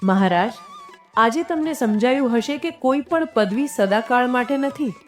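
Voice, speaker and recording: Brief: humble peasant man, gentle and forgiving, storybook folk tale read by a woman, music underneath. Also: a little high, a little slow. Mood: calm, compassionate. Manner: pleading, humble, calm. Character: poor, kind-hearted village farmer man, gentle and forgiving.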